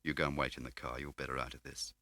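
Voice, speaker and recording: A man voicing a gruff, blunt, streetwise male detective with a terse, menacing manner in an audiobook. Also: very deep voice, level tone, fast, bright, forceful tone, dry recording, exaggerated voice. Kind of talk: audiobook character voice.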